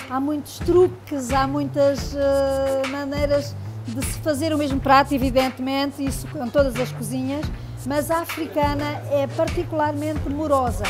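A middle-aged woman chef speaks proudly in an interview in a restaurant kitchen, repeating words as she searches, on a lapel mic. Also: high voice. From Angola. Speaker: head chef.

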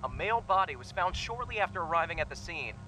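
A man playing a grave 1980s television news reporter, reading a scripted bulletin in a formal, measured way. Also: high-pitched, expressive, bright, forceful tone, faint music behind, poor audio.

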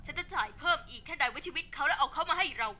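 A woman's voice: high voice, lively intonation, rapid-fire, pushed and bright, full character voice. A woman voicing a spiteful, haughty, petulant owl demon noblewoman in a fan-dubbed cartoon. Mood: commanding. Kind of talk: Thai fan-dub cartoon acting.

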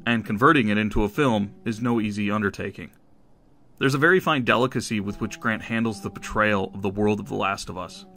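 Guy narrating a scripted review video, lowish voice but crisp and forward, measured and analytical. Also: bright, forceful tone, faint music behind.

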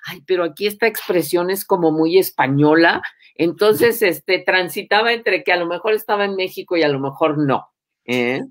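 An elderly woman hosts a casual interview from home on a video call. Her voice sits a little low. She speaks conversationally, thinking aloud as she goes. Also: animated, normal speed. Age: 70s or older. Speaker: interviewer, host. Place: book-lined room at home, on a video call.

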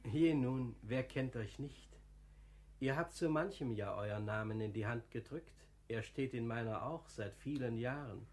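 A man plays a good-natured older lay brother in a classical radio play. He speaks at a medium male pitch in a homely, confiding way. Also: a little slow, darkish tone, close to an everyday voice. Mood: humble, friendly. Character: humble, good-natured older friar, plain-spoken and confiding. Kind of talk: classical German radio drama acting.

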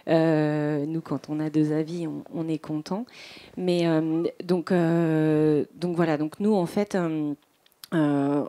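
A woman's voice: low-pitched, fairly even intonation, takes it slowly, dark tone. A woman in her thirties giving an informal, somewhat hesitant talk on a conference stage with a handheld microphone. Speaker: panel speaker. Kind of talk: conference case-study talk. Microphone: handheld microphone held close.

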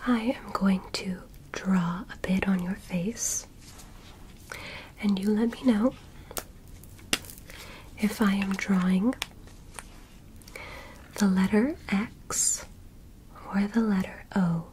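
A woman voicing a gentle, curious alien medical examiner in an ASMR roleplay, in a soft, breathy whisper with deliberate short instructions. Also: mid-range voice, steady tone, slight background noise, close to an everyday voice, rough recording. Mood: curious, pleased. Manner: slow, deliberate, short instructions with simple praise. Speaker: ASMR performer playing an examiner.

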